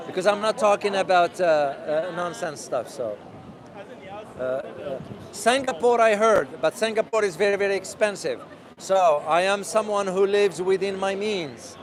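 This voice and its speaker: A man vlogging as he walks a city street outdoors, talking loudly and passionately while answering viewers' questions. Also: high voice, normal speed. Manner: loud and passionate. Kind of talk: casual walking vlog answering live questions. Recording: crowded outdoor street noise. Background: street crowd.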